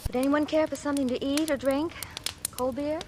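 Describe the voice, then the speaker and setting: Lady playing a gracious young wife, highish voice, echoey old radio drama with a bit of hiss. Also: steady tone, a little fast, lightly acted. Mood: gracious, welcoming. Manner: gracious, polite. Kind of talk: 1940s radio drama acting. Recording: reverberant, faintly noisy vintage radio recording.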